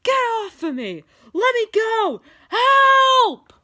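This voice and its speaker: A woman voicing a frantic, loud game hero in a story, exclaiming urgently. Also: very high-pitched, very animated, very slow, no room sound, studio quality, pitched above the performer's own voice, full character voice. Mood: panicked screaming.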